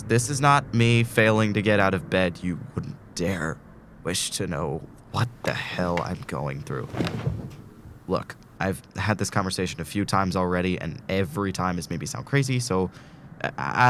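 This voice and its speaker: A man's voice: sits low, a bit bright, a little hiss or hum. A man acting a desperate, tormented patient in a student audio drama, speaking in a weary, urgent, rambling way. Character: desperate, tormented man trapped in torture loop.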